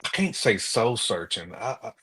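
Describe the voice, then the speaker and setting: A man co-hosting a relationship podcast, chatting casually in a halting, colloquial way. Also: lowish voice, big pitch swings, a bit bright, husky. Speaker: podcast co-host.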